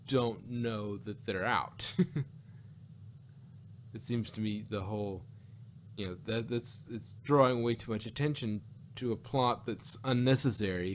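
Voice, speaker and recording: A man reviewing in a casual podcast conversation, talking in a halting, animated, argumentative way and often repeating himself. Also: on the low side, a little hiss or hum. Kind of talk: casual review chat about an audio drama.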